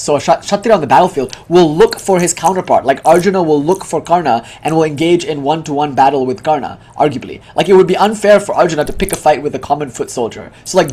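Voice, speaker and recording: Male teacher from Malaysia, quick eager talker, slightly husky voice. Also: a little high, a little mellow. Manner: fast, eager explaining with frequent "like". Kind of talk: lecturing a live group.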